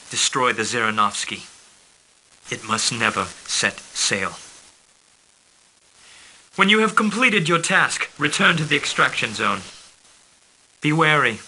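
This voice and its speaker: An actor playing a formal male intelligence handler who briefs a commander on his missions in a calm, businesslike way. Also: medium pitch, slight background noise, rough recording. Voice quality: crisp, formal delivery. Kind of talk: scripted game briefing.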